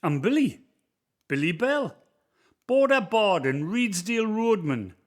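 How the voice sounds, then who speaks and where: A man voices a cheerful ghostly roadman from the Northumbrian hills, speaking in a bright, high, lively voice with a broad Northumbrian accent. He is folksy and kindly, reciting verse and soothing a frightened visitor in a community radio play. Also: measured pace. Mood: warm, folksy.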